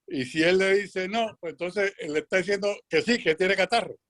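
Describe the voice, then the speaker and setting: A man's voice: high-pitched, fairly quick, fairly bright tone. A man with a Puerto Rican accent, a club member asking a question on a video call, working through his point carefully with fillers. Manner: careful, thinks aloud with fillers.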